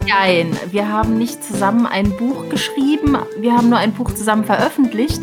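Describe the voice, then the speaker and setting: A woman with a fairly high voice co-hosts a podcast in a casual, chatty style. Music is clearly audible behind her as she talks. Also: a little fast. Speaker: podcast co-host, author.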